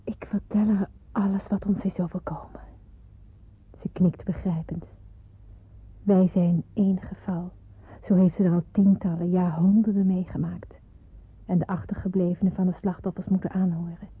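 Radio play, teenage girl narrator: breathy, earnest, yearning, solemn; muffled narrow-band sound. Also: fairly low pitch, little pitch movement, normal speed, dry recording. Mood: reflective. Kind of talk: radio drama narration and acting.

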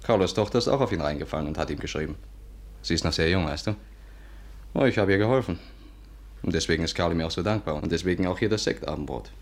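An actor playing an urbane, persuasive amateur sleuth in a 1950s radio play, with a coaxing manner. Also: male voice, low voice, quick pace. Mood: confiding. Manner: urbane, coaxing, slightly exasperated.

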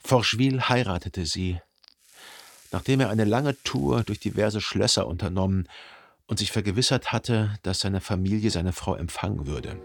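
Radio play lead: observant, reflective gentleman recounting his memories, deep-set husky tone, careful literary phrasing. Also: moderate pace. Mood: detached, measured. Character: reflective, observant upper-class Frenchman narrating his memories. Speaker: narrator actor in a radio play. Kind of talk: literary first-person radio drama narration.

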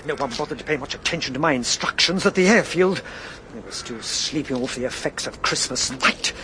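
An actor playing a flustered, dejected official in a radio drama. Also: male voice, medium pitch, expressive, a little fast, noisy background, lightly acted.

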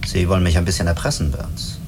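With distinct noise behind him, an actor plays a male spaceship commander in a radio play. His voice sits slightly low. He is courteous and cautious, putting thoughtful questions with some hesitation. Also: fairly even intonation, fast talker, close to an everyday voice. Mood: wary. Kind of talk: German radio drama acting.

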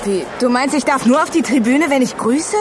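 A woman acting a stubborn, outspoken teenage schoolgirl in a German radio play, challenging and sharp in her retorts. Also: high-pitched, lively intonation, a little fast, background noise. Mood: defiant.

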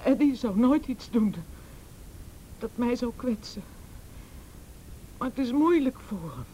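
An anxious, pleading mother in a radio drama. Her voice is high and emotional, halting as she defends herself. The recording carries a faint rumbling noise. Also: expressive, dark tone, poor audio. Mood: anxious, defensive. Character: distraught, defensive, pleading mother of teenage son. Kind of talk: Dutch radio play acting.

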